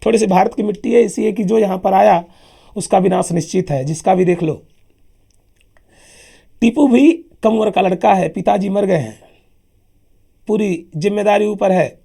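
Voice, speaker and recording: A man with a sore throat teaching a lively history lesson for exams, emphatic and chatty, often calling his listeners sir. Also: sits high, normal speed, long pauses. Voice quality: husky, gravelly. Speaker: exam coaching teacher. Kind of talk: lively classroom history lecture.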